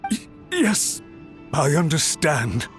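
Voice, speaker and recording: An actor playing an anxious, apologetic government official in a video game, pleading and very deferential. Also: male voice, fairly high pitch, very animated, slow pace, soft background music. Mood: nervous, earnest. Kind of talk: dramatic video game dialogue.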